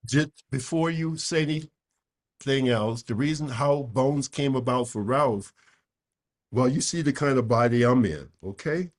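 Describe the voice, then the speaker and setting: A man's voice: mid-range voice, measured pace. A man in his seventies with Japanese as his first language, giving a dharma talk and answering questions, emphatic, repeating words and checking in with "okay?". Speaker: Buddhist teacher.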